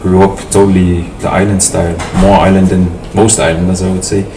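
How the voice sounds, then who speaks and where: Very low-voiced Samoan man, distant and roomy. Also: little pitch movement, a little fast. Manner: thoughtful, hesitant with fillers and restarts. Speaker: interviewee, family host. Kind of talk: casual reflective conversation. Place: home in a city. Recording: roomy, distant mic with noticeable noise.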